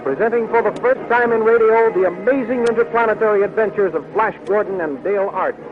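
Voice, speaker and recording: A man's voice: sits high, fairly quick, natural voice. A man performing as a grandiose, proclaiming announcer of a 1930s space adventure radio serial. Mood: grand, excited. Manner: proclaiming, showy. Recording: tinny 1930s radio recording, music.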